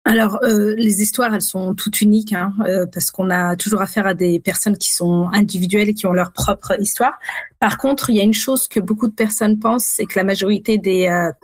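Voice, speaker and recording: A woman speaking as an expert guest in a radio interview, explaining things in a measured, careful way. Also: mid-range voice, steady tone, no room sound. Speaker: child protection worker, interview guest.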